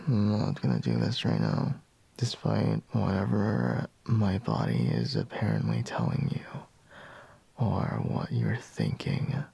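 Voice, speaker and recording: A man playing a sleepy, grumbling boyfriend in an intimate ASMR roleplay, murmuring in a breathy, husky half-whisper close to the microphone. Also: a bass voice, very animated, takes it slowly, warm tone, dry recording, heavily acted. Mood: sulky, tired. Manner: drowsy, drawling, murmuring with sighs. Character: sleepy, grumbling, teasing young boyfriend woken in bed. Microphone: close microphone, dry.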